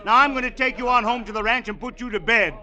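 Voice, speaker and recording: A high, extremely sharp-voiced villain, a spy posing as an indignant husband. He scolds and blusters in the heightened style of 1950s radio thrillers. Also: fast talker, full character voice. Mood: mocking indignation. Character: menacing spy bluffing as an indignant, bullying husband. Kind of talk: 1950s radio drama acting.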